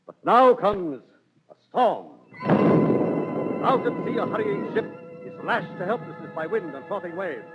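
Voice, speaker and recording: A man's voice: sits high, huge pitch swings, unhurried, pauses often. A man narrating in theatrical, declamatory 1930s radio storytelling style. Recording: tinny 1930s radio, music behind.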